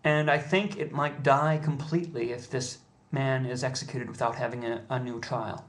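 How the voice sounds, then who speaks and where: An American man making an impassioned personal appeal, speaking earnestly and pleadingly with halting, deliberate pauses. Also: medium pitch, fairly even intonation. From United States.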